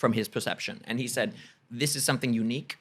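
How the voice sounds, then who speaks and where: An American man talks quickly and earnestly as an interview guest. He strings his stories along with "you know" and "let's just say". His pitch sits in the middle for a man. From United States. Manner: earnest, animated storytelling with frequent "you know". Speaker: English teacher and guest. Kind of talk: casual interview chat.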